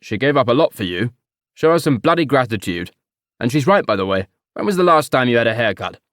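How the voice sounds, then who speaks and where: A stern, overbearing father in an audiobook, as a male reader puts him on. He speaks loudly and sharply, and his pitch moves a lot. The sound is dry. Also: animated, brisk, clear, resonant tone, no room sound, natural voice. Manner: snapping, forceful.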